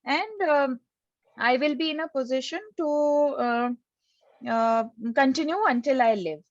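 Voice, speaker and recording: A woman's voice: sits high, animated, measured pace, no room sound, muffled recording. A woman presenting an insurance scheme on a video call, explaining step by step with many fillers and hesitations.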